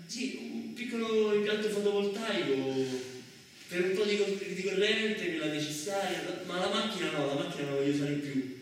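A man on stage playing a restless idealist who dreams of a rural commune, in a repetitive, halting theatrical monologue that drifts between murmurs and exclamations. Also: high voice, a little hiss or hum, close to an everyday voice. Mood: hopeful, dreamy. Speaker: solo stage actor. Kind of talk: theatrical monologue with bursts of singing. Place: reverberant performance space, live before listeners. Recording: echoey hall, distant mic, music behind.

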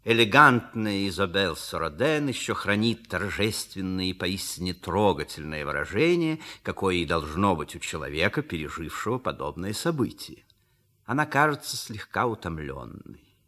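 A man's voice: deep voice, very animated, normal speed, pushed and bright. A man narrating a stage comedy as scripted, theatrical narration with a wry, ornate manner.

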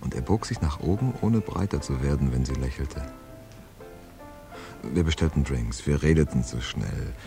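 An actor playing a laconic, world-weary hard-boiled man in a radio crime play, understated and deadpan. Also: extremely low pitch, normal speed, creaky, over music, exaggerated voice. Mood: deadpan, reflective. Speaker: narrator and lead character in a radio crime play. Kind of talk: scripted hard-boiled first-person narration and dialogue.

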